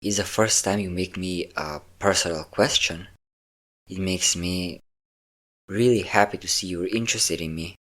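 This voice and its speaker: A man acts out a centuries-old male vampire with a low-pitched voice, speaking intimately to the one he holds captive. He is possessive yet doting, coaxing with teasing endearments in an audio roleplay. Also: a little slow, fairly bright tone. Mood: teasing, pleased. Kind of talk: intimate scripted audio roleplay addressed to a listener.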